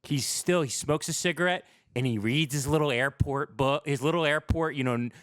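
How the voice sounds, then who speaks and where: A man's voice: a little high, normal speed, fairly bright tone, no echo, clean studio sound. A man hosting a livestream, ranting about politics in a blunt, sardonic, casual way with plenty of swearing. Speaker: livestream host. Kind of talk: opinionated casual political rant.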